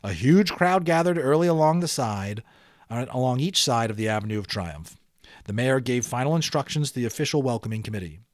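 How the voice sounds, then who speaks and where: A man's voice: mid-range voice, no room sound, clean studio sound. A man narrating a children's audiobook expressively, with dramatic emphasis and chatty asides to listeners.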